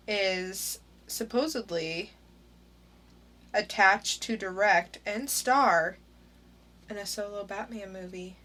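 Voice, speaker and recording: A woman's voice: mid-range voice, a bit bright. A woman co-hosting a casual podcast, talking through news in a laid-back, dry way. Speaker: podcast co-host.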